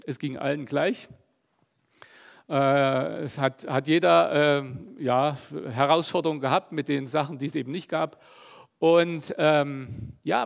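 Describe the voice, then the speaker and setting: A middle-aged man from East Germany preaching a relaxed, conversational sermon, chatty and loose with plenty of fillers. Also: on the high side, vocal fry.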